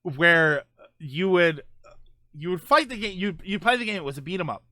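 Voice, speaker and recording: A man's voice: sits high, animated, moderate pace, pushed and bright, dry recording, studio quality. A man hosting a gaming show, chatting casually with viewers and thinking aloud in a rambling, conversational way. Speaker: host of a gaming show, streamer. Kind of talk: casual chat answering live viewer comments.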